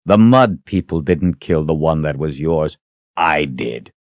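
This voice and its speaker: A man's voice: rumbling low, expressive, unhurried, dry recording, muffled recording, full character voice. A man voicing a cold, defiant fantasy hero in an audiobook, taunting and intense. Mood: cold menace. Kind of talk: dramatic audiobook character acting.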